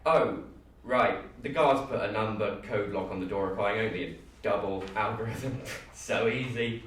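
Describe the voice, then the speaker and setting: Smug male know-it-all acted by a man, dismissive and low-pitched, distant in a very echoey room. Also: natural voice. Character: cocky male genius scoffing at feeble security. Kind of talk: dramatic audition reading. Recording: echoey room, distant mic, faint noise.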